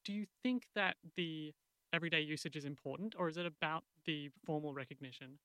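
A man with a bright, smooth, resonant voice, pitched a little high, presenting a radio piece. He reads his script and puts questions to a guest in a crisp, measured way, recorded dry and close. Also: no echo. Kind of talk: interviewing and scripted narration.

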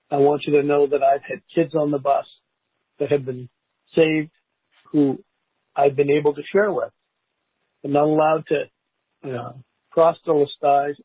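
A man in his sixties giving a heartfelt personal testimony in a voicemail, halting and pausing mid-sentence. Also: a little high, slightly rough, no echo. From Canada. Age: 60s. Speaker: listener leaving a voicemail, school bus driver. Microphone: phone. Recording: tinny, narrow phone voicemail line.

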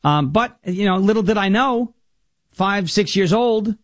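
An American man with a raspy voice from New York, hosting a talk radio show in an emphatic, jokey way. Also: high-pitched, expressive, measured pace, no echo, studio quality. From New York, United States. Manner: emphatic, jokey, slips into impression bits. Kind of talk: political talk show monologue with comic bits.

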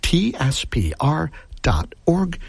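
Gravelly, slow-talking man on local radio. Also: fairly high pitch, wildly swooping pitch, no echo. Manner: affable, repeats the phone number. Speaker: radio music director. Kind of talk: radio pledge drive chat.

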